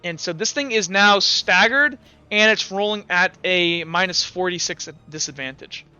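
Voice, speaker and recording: A man's voice: high voice, moderate pace, pushed and bright, clear, resonant tone, highs cut off, faint music behind. A young man running a tabletop game as game master, talking casually, stumbling over rules and narrating gory combat.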